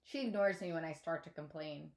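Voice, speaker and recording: A woman teacher reading a children's novel aloud in an expressive storytelling style. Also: low voice, fairly quick.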